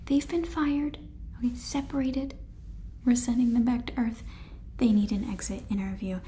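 A woman's voice: on the high side, dark tone, a little hiss or hum, lightly acted. A young woman playing a weary, insistent space-agency boss on the phone in a whispered ASMR sitcom. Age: young adult.

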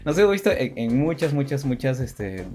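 A young man chats casually as a podcast co-host, with music behind him. His voice sits at a middling pitch. He rambles hesitantly and circles back over his own phrases. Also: very animated, normal speed, mellow. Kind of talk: casual chat. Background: background music.

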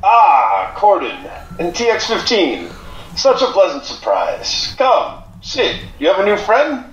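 An actor playing a fawning, theatrical con-artist seer in an audio drama, speaking in a mysterious manner. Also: male voice, sits high, huge pitch swings, fairly bright tone, muffled recording, heavily acted. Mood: oily welcome. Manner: theatrical, mysterious, drawn-out speech. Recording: roomy with faint background noise.

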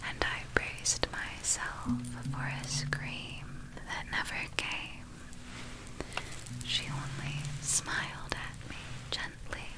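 A woman voicing an ageless, eerily courteous vampire in a whispered ASMR roleplay, telling tales in formal, old-fashioned storyteller diction. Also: poor audio. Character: ageless vampire recounting past feedings, eerily courteous, possessive.

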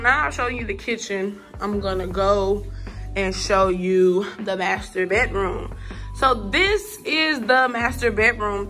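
A woman's voice: fairly high pitch, bright, forceful tone. Chatty woman touring an empty apartment. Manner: chatty, informal slang, addresses viewers directly. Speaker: vlogger giving an apartment tour. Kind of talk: casual apartment tour vlog. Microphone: phone camera held while walking.

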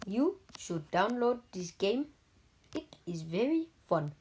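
A gaming YouTuber with an Indian accent, giving deadpan, halting comedic commentary over mobile gameplay. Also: female voice, medium pitch, slightly rough. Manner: deadpan, halting, joking persona.

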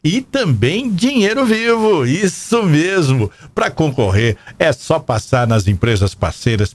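A Brazilian man in his sixties doing chatty, playful promotional announcing in a radio studio. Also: medium pitch. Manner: upbeat, playful salesmanship. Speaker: radio and TV presenter. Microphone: large broadcast mic on boom arm.

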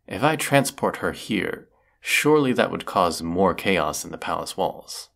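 A brooding villainous wizard of the woods, acted by a man for a fantasy audio roleplay. His voice sits low with a creaky, gravelly edge. He speaks slowly and formally, with stern deliberation. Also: lively intonation, a bit bright, exaggerated voice. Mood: curt, impatient.

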